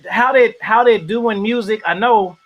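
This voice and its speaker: A man hosting a casual livestream interview, animated and shouting out viewers in the chat. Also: sits high, measured pace, dull audio, a little hiss or hum.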